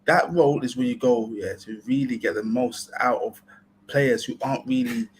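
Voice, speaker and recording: A man on a casual football stream who talks in short points and keeps asking "yeah?" to pull his co-hosts along. His voice sits at an ordinary pitch for a man. A faint hiss runs under the recording. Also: normal speed, low-quality recording. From family partly Ghanaian. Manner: talks in rhetorical questions ending in "yeah?". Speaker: co-host of football podcast.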